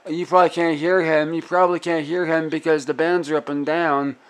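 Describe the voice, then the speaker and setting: A man's voice: on the high side, flat delivery, fairly quick. A man working as an amateur radio operator, trading call signs and signal reports and repeating his phrases. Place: indoors at a radio desk. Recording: noisy room with radio static. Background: radio hiss and static.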